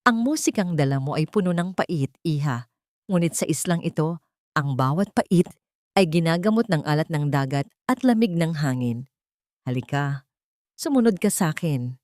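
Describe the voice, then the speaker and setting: A woman's voice: animated, moderate pace, darkish tone, no echo. Audio story role: wise old islander, cryptic and fatherly, performed by a woman, close dry sound. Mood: solemn, inviting. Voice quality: husky, deep-voiced. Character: wise, poetic old island man with a cane.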